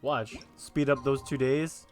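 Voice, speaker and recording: A woman's voice: very deep voice, fairly even intonation, slow, a little mellow, soft background music. A woman gamer chatting casually as she comments on her play. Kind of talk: casual chat over gameplay.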